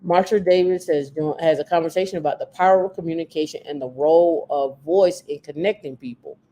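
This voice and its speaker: A woman with a naturally deep voice, hosting a casual podcast chat and repeating her points for emphasis. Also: brisk, warm tone, slight background noise, poor audio. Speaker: podcast host.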